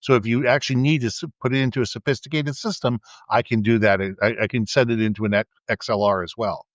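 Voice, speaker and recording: A man co-hosting a casual podcast, talking at length in a booming voice, with frequent restarts, into a USB mic kept a little away from his face. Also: fairly even intonation, quick pace, no echo, muffled recording. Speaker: podcast co-host. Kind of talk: casual chat. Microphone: USB condenser mic with windscreen, held back from the mouth.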